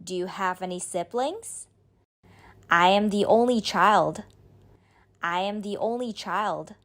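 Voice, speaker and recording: A woman reading a beginner's speaking drill, saying each line slowly and clearly, then repeating it. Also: medium pitch. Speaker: model speaker in a language drill. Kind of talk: slow scripted drill reading.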